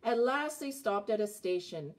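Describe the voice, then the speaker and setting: A woman in her forties reading a children's picture book aloud, with expressive phrasing and a slightly husky voice. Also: medium pitch, moderate pace. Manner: expressive, clearly phrased narration with asides about the pictures. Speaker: storybook reader. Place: indoors, child's playroom.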